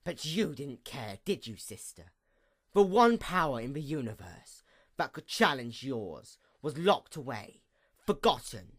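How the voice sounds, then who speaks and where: A performer voicing a bitter, vengeful pony goddess of the night in a grandiose, formal dramatic monologue that moves between outbursts and hushed menace. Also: medium pitch, big pitch swings, a little slow. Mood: cold, gloating. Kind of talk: dramatic reading, theatrical villain monologue.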